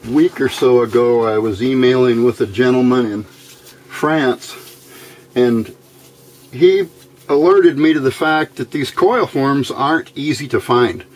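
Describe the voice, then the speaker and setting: Slow-talking man giving a hands-on tutorial. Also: mid-range voice, a little mellow, rough recording. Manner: plain-spoken, unhurried, halting explanation. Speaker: electronics hobbyist demonstrating a build. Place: indoor workbench.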